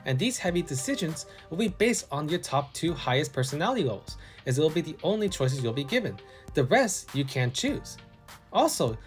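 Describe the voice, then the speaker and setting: A man narrating a scripted video game review in an enthusiastic way. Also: high voice. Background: background music.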